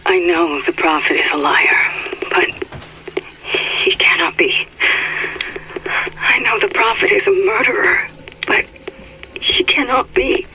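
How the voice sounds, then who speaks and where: Tormented devout wife, noisy old recording. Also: female voice, mid-range voice, expressive. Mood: anguished, bitter. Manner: solemn, hushed, halting. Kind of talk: confessional audio diary in a game.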